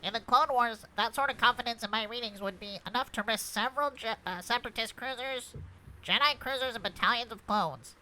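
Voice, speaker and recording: A woman plays a starship droid in a space drama, dutiful and formal. The voice is very bright and fairly high, reporting precisely, with some noise behind it. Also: fairly quick, low-quality recording. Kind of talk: fan script table read, play-by-play reporting. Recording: clear background noise.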